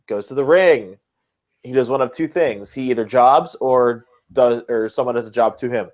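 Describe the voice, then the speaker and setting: A man's voice: mid-range voice, normal speed. A man co-hosting a casual wrestling talk podcast, blunt and critical, often repeating phrases to hammer a point home. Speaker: podcast co-host. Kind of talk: casual wrestling commentary chat.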